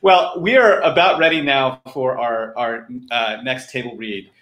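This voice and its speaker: A middle-aged man hosts an online event over a video call. His medium-pitched voice sits in an echoey room. He speaks informally and often stops to restart a phrase. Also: animated, a little slow, fairly bright tone. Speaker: host of a live online fundraiser. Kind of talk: informal live stream hosting. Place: room at home, indoors. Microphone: computer video call.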